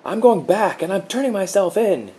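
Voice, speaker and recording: A man's voice: medium pitch, moderate pace, voice pushed up, natural voice. Man doing a frantic panicked young man. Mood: defiant. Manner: frantic, yelling.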